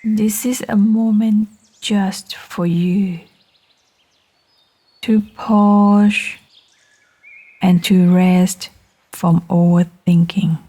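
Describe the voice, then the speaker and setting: A woman guiding a meditation in a gentle, soothing manner, speaking in short phrases with long, unhurried pauses. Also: mid-range voice, fairly even intonation. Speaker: meditation guide. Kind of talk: guided meditation narration.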